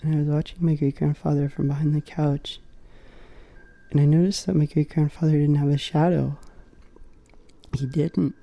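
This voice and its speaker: An actor playing a shy, wistful, childlike man telling a memory in a soft, halting, intimate way. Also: on the high side, gravelly. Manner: halting, repetitive, childlike, tender. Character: shy, wistful, childlike male dreamer recalling boyhood wonder. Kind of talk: intimate storytelling monologue.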